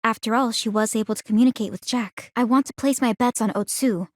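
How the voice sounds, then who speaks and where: An actress playing a calm, analytical young woman in a video game, speaking in a measured, formal and articulate way. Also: on the high side, dry recording, clean studio sound, close to an everyday voice. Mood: composed, confident. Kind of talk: scripted video game character dialogue.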